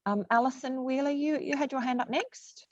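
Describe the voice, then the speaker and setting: A woman's voice: high voice, moderate pace, no echo, muffled recording. A woman moderating a council information session, thanking speakers and passing the floor on politely. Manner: polite, facilitating. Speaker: meeting moderator. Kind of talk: chairing a public meeting.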